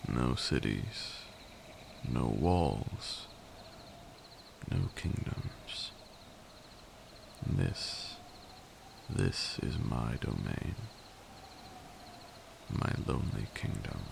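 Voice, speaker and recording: A man's voice: background noise, exaggerated voice. A man playing an ancient, brooding vampire lord in an intimate ASMR roleplay, seductive and menacing, with an archaic, commanding way of talking. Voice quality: deep gravelly voice, breathy at times. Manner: archaic, deliberate, teasing and commanding.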